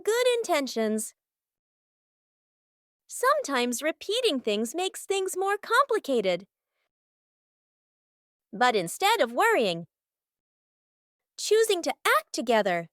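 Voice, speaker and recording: A woman's voice: high voice, very animated, slow, pauses often, a bit bright, no echo, clean studio sound. A woman narrating a children's cartoon from a script, in short, simple storybook sentences.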